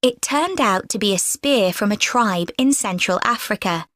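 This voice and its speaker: Woman, clear scripted presenter, mid pitch, dry studio sound. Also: animated, a bit bright, no room sound. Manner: clear, measured delivery. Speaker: lecture speaker in a listening exam recording. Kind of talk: scripted student presentation reading.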